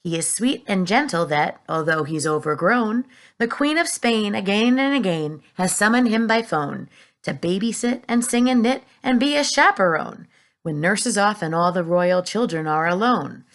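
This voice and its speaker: A woman's voice: medium pitch, animated, moderate pace, lightly acted. A woman voicing a cheerful, friendly small boy reciting rhymes in a storybook. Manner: eager, sing-song rhyming.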